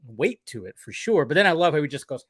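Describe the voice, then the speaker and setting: A man co-hosting a casual chat, animatedly recapping a cartoon episode with quick acted-out quotes and casual swearing. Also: on the high side, sing-song, noisy background. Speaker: podcast co-host.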